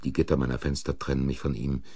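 Very deep, husky, bitter accused man. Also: little pitch movement, quick pace, warm tone, no echo, clean studio sound. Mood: bitter, resigned. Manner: sardonic, brooding. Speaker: actor. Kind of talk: German crime radio play acting and narration.

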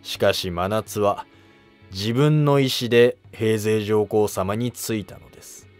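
A man voicing a wily court minister, formal, measured and evasive, in a historical drama animation. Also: on the low side, faint music behind, close to an everyday voice. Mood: guarded, wily.

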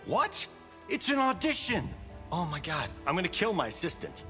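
An actor voicing a cocky, flirtatious movie-star martial artist in a video game, swaggering and slangy. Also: male voice, high voice, wildly swooping pitch, moderate pace, fairly bright tone, soft background music, heavily acted. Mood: brash exasperation. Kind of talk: video game fight-intro banter.

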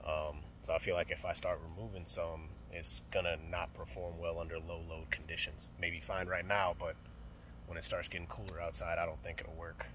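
A man's voice: sits low, little pitch movement, normal speed, fairly bright tone, slight background noise. A young man working as an HVAC technician, talking through a service call in a casual vlog, thinking aloud with many fillers and restarts. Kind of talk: casual work vlog narration. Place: outdoors by an AC unit and indoors in a closet. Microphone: phone camera held close.

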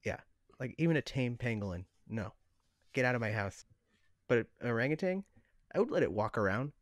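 A man's voice: medium pitch, animated, a little fast, no echo, studio quality. A young man co-hosting a podcast in casual, conversational banter. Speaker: podcast co-host. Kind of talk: casual chat.

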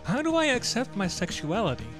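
A man's voice: high voice, sing-song, a little fast, a bit bright. A man reading out short viewer questions in a scripted style for a question-and-answer show. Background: music under the voice.

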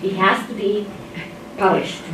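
A woman's voice: medium pitch, little pitch movement, unhurried. Insistent woman speaking up from across a room. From Mexico. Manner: emphatic, insistent, gestures while talking. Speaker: engineering faculty member in audience. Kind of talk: impassioned audience comment in discussion. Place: seminar room with tables, indoors. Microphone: far room camera mic. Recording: roomy and distant with faint noise.

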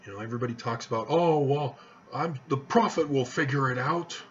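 A man's voice: mid-range voice, a little fast, slight background noise. Middle-aged guy rambling into his webcam at home, earnest, kinda muffled audio. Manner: rambling, earnest, with chuckles and restarts. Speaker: vlogger. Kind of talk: casual talk to camera. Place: small living room indoors. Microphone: webcam at desk distance.

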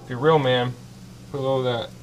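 A man's voice: mid-range voice, steady tone, quick pace, noisy background. A young man in his twenties, brash and boastful, hosting a comedic cooking show from a small kitchen. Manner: brash, boastful, loud whoops.

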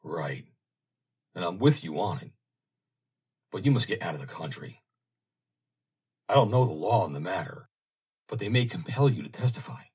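A man's voice: sits low, expressive, moderate pace, long pauses, darkish tone, husky, exaggerated voice. A man reading an audiobook, voicing a blunt, plain-spoken middle-aged sea captain.

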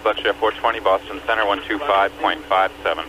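A man's voice: lowish voice, flat delivery, a little fast. A man working as an air traffic controller, giving clipped instructions over aviation radio. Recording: dull, noisy air traffic radio recording.

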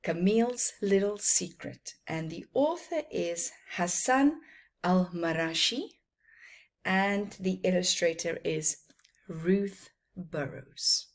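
A middle-aged woman with a South African accent and Afrikaans as her first language, reading a story to children emphatically with teacherly asides. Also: on the low side. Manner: slow, deliberate, emphatic delivery with teacherly asides. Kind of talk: expressive read-aloud storytelling to children. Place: small plain room at home, indoors.

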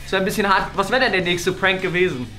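A man's voice: high voice, steady tone, fast talker, bright, forceful tone, faint music behind. A man in his thirties chatting casually and teasingly as co-host of a prank vlog.

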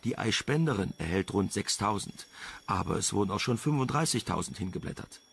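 A man's voice: lowish voice, expressive, brisk, creaky, a bit bright, slight background noise. An actor playing a cheeky, flirtatious male journalist in a crime radio play, suave and ironic. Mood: businesslike flattery. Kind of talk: German radio play acting.

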